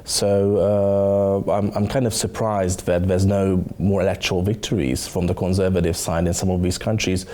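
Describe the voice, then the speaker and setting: Low, flat-voiced Hungarian-accented man. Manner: halting, many uh fillers. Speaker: think tank director, guest. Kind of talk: studio interview. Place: studio set with dark curtains. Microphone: lapel.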